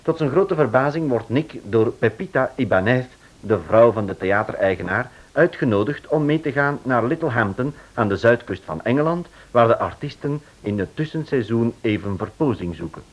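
A man narrating and announcing a radio detective serial from a script in a measured, dramatic way. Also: medium pitch, expressive. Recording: dull, lo-fi old radio recording.

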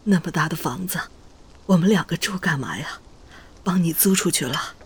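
A woman playing an anxious, loving middle-aged mother in a drama, hesitant and halting, often repeating herself. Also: fairly low pitch, expressive, vocal fry, breathy edge, exaggerated voice. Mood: probing, careful. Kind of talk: screen-style audio drama acting.

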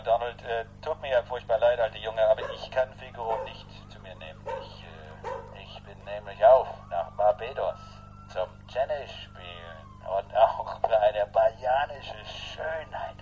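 An actor playing a breezy, jovial bachelor leaving a rambling, hesitant phone message in a radio drama. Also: male voice, mid-range voice, moderate pace, warm tone, slight background noise, close to an everyday voice, low-quality recording. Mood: apologetic.

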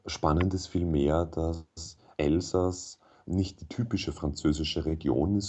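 Very deep, husky-voiced German man. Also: mellow. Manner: measured, explanatory, with frequent hesitations. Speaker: interview guest. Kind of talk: thoughtful radio interview answers.

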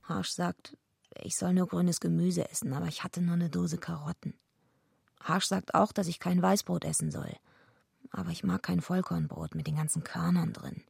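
A husky, heavily creaking tough wrestling villainess tells her story in a radio play. She is blunt and deadpan, a gruff loner with no time for niceties. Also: fairly low pitch, normal speed, no echo, studio quality, heavily acted. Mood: weary, matter-of-fact. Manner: blunt, deadpan first-person narration. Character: tough, gruff female wrestler villain and lonely night watchwoman. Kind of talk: radio play narration and dialogue.

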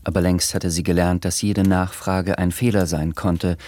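A man narrating a radio drama in a calm, measured storytelling voice. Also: low-pitched. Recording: music playing underneath.

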